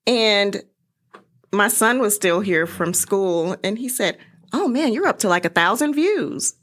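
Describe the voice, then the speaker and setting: A middle-aged woman chatting casually as an interview guest, telling her story in an animated way. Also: mid-range voice, a little slow.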